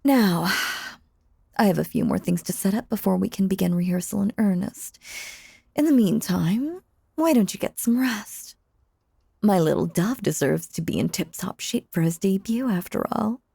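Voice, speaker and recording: A woman playing a possessive, lovestruck stage magician in a roleplay audio drama, theatrical and doting, often breathy or whispering. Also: mid-range voice, animated, mellow, dry recording, studio quality. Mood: tender, controlling. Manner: theatrical, doting, drawn-out hypnotic cooing. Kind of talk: roleplay audio drama, intimate second-person monologue.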